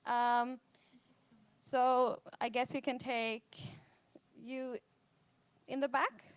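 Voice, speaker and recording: A woman moderating a conference panel, polite and brisk as she thanks speakers and takes questions. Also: on the high side, little pitch movement, smooth, no room sound.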